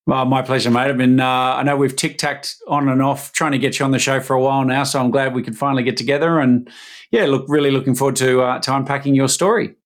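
A man's voice: medium pitch, steady tone, fast talker. A man hosting a podcast interview, talking casually with fillers and restarts. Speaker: podcast host. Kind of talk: conversational interview.

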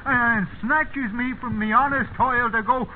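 An actor playing a stubborn, grumbling old farmhand with a rural English accent in a 1950s radio drama. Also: male voice, extremely high pitch, fairly even intonation, a little slow. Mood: indignant. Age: older. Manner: folksy, complaining, rambling. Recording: tinny, noisy 1950s radio recording.

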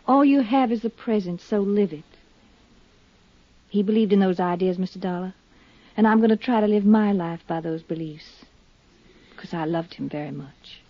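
A woman's voice: medium pitch, normal speed, long pauses, lightly acted. An actress playing a poised, earnest, grieving young woman in a 1950s radio drama, confiding yet firm. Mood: wistful, earnest. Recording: dull, dry 1950s radio recording.

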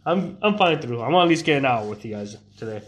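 A man's voice: medium pitch, animated, fast. A congested young man chatting loosely as a streamer, rambling with many fillers and restarts. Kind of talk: casual live-stream chat.

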